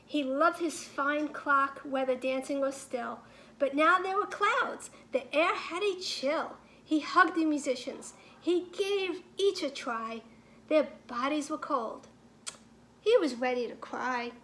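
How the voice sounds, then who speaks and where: A woman with a high, bright voice reads a children's storybook aloud. She phrases each line deliberately and with animated emphasis, as a teacher does for a class. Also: a little hiss or hum. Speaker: teacher reading a picture book aloud.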